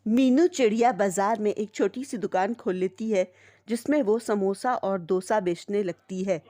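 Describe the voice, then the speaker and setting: Woman, medium pitch, scripted children's story narration, narrator role. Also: measured pace, dark tone.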